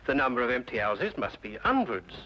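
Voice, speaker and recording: A man's voice: medium pitch, sing-song, brisk, natural voice. An actor playing a respectful, dutiful male police sergeant reporting formally in an old-time radio drama. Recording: dull old radio recording, faint noise.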